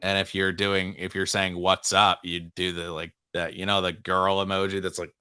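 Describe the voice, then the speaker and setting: A young man co-hosting a casual sports podcast, relaxed and playfully teasing his co-host. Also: low-pitched, normal speed, clear, resonant tone, dry recording, clean studio sound.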